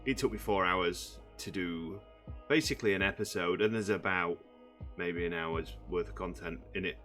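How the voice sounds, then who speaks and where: A man, croaky from just waking up, rambling through casual gameplay commentary with many fillers. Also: on the low side, fairly bright tone. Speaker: gaming channel host.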